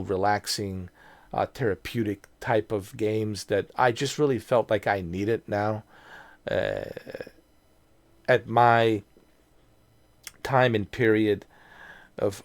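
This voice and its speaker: A man's voice: lowish voice, creaky, darkish tone, dry recording. A man in his mid-forties talking casually to his viewers in a rambling way, with many restarts and fillers. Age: 40s. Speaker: video creator. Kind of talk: casual nostalgic vlog monologue.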